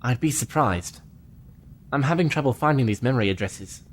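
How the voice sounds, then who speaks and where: A man's voice: mid-range voice, expressive, normal speed, close to an everyday voice. A man playing an eager young male engineering lieutenant who answers his captain readily in a fan audio drama. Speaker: engineering officer.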